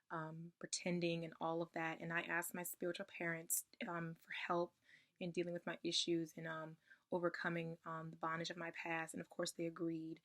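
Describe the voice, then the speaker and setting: Low, flat-voiced woman, slightly raspy. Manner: soft-spoken, frequent ums. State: getting over a cold. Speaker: ministry member sharing testimony. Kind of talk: personal testimony.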